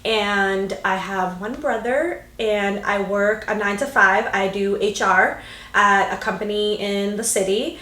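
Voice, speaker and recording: This is a woman in her late twenties, from Greece, chatting casually on a podcast she co-hosts. She talks loosely, with many likes and ums. Her voice sits at a middle pitch and bounces around an echoey room. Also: measured pace, sharp tone. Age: 20s. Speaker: podcast co-host. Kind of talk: casual chat. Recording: roomy echo.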